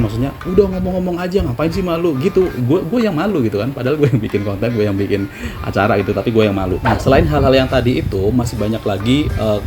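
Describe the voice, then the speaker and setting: A man with a Javanese accent, a travel vlogger, talking casually and self-deprecatingly to the camera. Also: mid-range voice. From Java. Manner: chatty, self-deprecating, slangy. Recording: music playing underneath.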